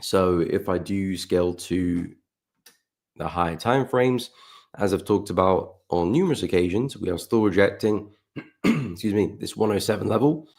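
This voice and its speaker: A man talks through market charts on a live stream in a deep, low voice. His throat is croaky and he keeps clearing it. His manner stays relaxed and chatty, and he greets viewers by name. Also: normal speed. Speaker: forex trading livestream host.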